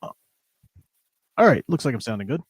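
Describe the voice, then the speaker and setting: A man with a mid-pitched voice hosts an informal book chat. He rambles easily from point to point. The sound is dry, with very little room in it. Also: sing-song, brisk, breathy edge, no room sound. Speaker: host. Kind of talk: casual live-stream book discussion. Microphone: newly borrowed, older studio microphone.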